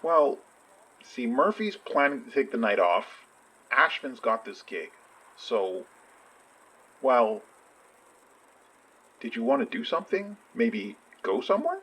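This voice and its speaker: An actor playing an earnest, insecure friend in a naturalistic audio drama, talking in a tentative, halting, slightly husky voice. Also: medium pitch, big pitch swings, a bit bright, heavily acted, poor audio. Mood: shy, hopeful. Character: earnest, insecure friend shyly hoping for a date.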